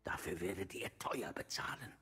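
An actor playing a cruel, gloating undead villain in a video game, menacing and theatrical with a gravelly voice. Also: male voice, low voice, expressive, moderate pace, sharp tone, whispered, full character voice.

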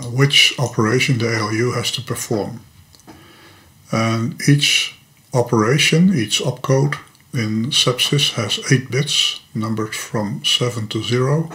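A man's voice: mid-range voice, fairly bright tone. Older Dutch man explaining patiently. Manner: patient, methodical explaining with small stumbles over words. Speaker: hobbyist teacher. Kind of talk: screen-recorded programming tutorial. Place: home workshop room with electronics.

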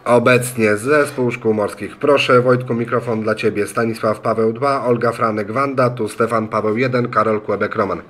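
A man's voice: medium pitch, steady tone, normal speed, few pauses, fairly bright tone. A man in a radio club room, talking on an amateur radio contact in a friendly, hesitant way and spelling call signs. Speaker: ham radio student operator. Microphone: phone recording in the room.

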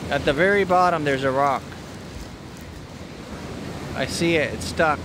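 A man's voice: a little high, measured pace. A man filming and coaching a small child at play on an outdoor beach, patiently repeating simple instructions from behind a handheld phone camera. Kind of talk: casual talk to a toddler. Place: outdoors on a sandy lakeshore beach. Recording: outdoor wind and wave noise.

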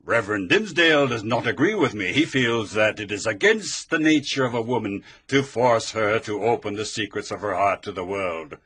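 A man voicing a stern older male Puritan clergyman in an audiobook reading, loud and forceful. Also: fairly low pitch, animated, moderate pace, few pauses, slightly rough.

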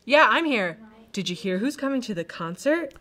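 A woman's voice: on the high side, very animated, normal speed, sharp tone. A woman acting in a short demo clip as someone chatting casually on a phone call. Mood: chatty.

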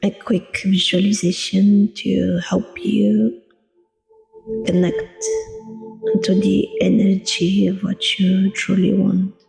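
A woman guiding a meditation in a soothing, deliberate manner, leaving long pauses between short phrases. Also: medium pitch, lively intonation, creaky, a little mellow. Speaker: meditation guide, coach. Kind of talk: guided meditation narration. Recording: long reverb with music behind. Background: meditation music.

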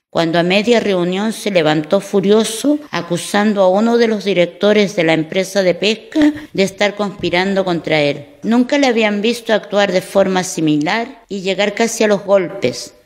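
A woman narrating a radio drama from a script, as a storyteller. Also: mid-range voice. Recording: light hall reverb with music underneath.

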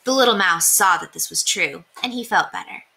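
A young woman reading a children's storybook aloud in an expressive, deliberate way. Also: mid-range voice, gravelly. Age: young adult. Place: small indoor room. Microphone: webcam at desk distance.

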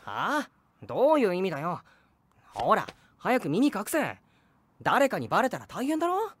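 A woman's voice: medium pitch, wildly swooping pitch, moderate pace, slightly rough, dry recording. A woman playing an emotional, boyish teenage schoolboy in an anime audio drama. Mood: casual, gruff. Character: teenage schoolboy, melodramatic, self-loathing, boyish.

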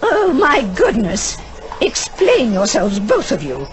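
A woman with a British accent playing a strict, formal older professor in a video game, severe and deliberate. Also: a little high, wildly swooping pitch, exaggerated voice. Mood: stern, disapproving. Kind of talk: video game cutscene acting.

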